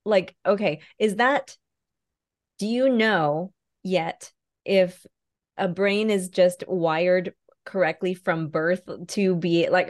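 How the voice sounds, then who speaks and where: A woman host asks long, eager questions in a casual chat. Her voice sits low for a woman. The sound is dry and close, with no room echo. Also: a little mellow.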